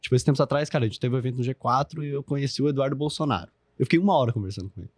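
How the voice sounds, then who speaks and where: A man in his twenties with a Brazilian Portuguese accent talks quickly on a podcast. He is slangy and swears freely, piling on fillers. The sound is dry and close. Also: mid-range voice, darkish tone, no room sound. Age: 20s. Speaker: podcast guest, business founder. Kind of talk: casual podcast chat.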